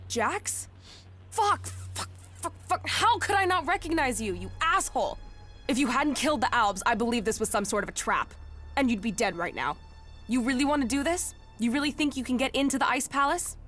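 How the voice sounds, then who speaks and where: A bloodthirsty female outlaw from a post-apocalyptic game. She is mocking and brash, with a fairly high voice and faint music behind her. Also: lively intonation, brisk, a bit bright. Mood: angry, incredulous. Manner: sneering, mocking, cocky. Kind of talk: dramatic game dialogue.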